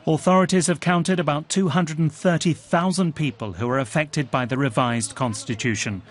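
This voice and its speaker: A man narrating a television news report in a measured, scripted voice, also voicing translated quotes. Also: on the high side. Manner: measured, even reporting delivery.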